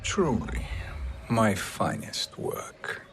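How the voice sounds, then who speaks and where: A man's voice: lowish voice, huge pitch swings, drawn-out words, whispered, exaggerated voice. A man playing a smug, scheming sorcerer in a video game cutscene, silky and theatrical in his delivery. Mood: self-satisfied. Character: scheming, smug male sorcerer, false ally. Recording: music behind.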